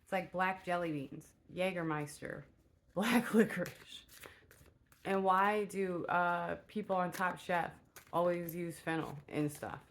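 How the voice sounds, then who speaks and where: A woman with a low, soft voice talks her way through a tarot reading in a relaxed, unhurried way. She meanders and fills her pauses with little hesitations. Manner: rambling and meandering, many filler "uh"s. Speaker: tarot reader. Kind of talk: casual spoken tarot reading.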